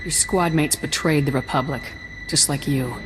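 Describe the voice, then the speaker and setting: A woman's voice: low-pitched, normal speed, lightly acted, rough recording. A woman playing a resolute, dutiful lieutenant in a video game, speaking in a firm, earnest military way. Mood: firm, challenging. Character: resolute, dutiful young female soldier, a lieutenant. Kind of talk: video game cutscene acting. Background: music.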